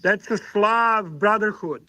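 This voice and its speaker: A man's voice: sits high, slow, pushed and bright, no room sound, rough recording. A man from Serbia, a native Serbian speaker, bantering casually on a livestream in a teasing, sweary way. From Serbia, Belgrade.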